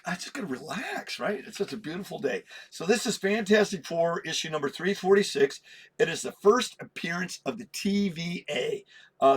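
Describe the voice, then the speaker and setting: A slightly hoarse man hosting a comic book vlog, chatty and self-deprecating, laughing at his own slips. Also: high voice, fairly bright tone. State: a little hoarse. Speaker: comic collector host. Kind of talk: rambling casual vlog.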